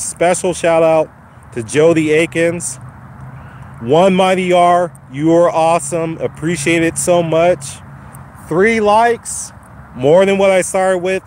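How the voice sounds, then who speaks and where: A man hosting his first live stream from a phone while walking a dog outside, his voice slightly raspy from a cold, casually encouraging viewers. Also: a little high, slight background noise. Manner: encouraging, repetitive, addresses viewers by name. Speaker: channel host. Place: outdoors on a lawn walking a dog. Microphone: phone held while walking.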